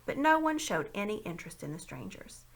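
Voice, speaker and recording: Expressive woman reading a storybook aloud. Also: mid-range voice, a little fast, gravelly, poor audio. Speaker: amateur audiobook reader.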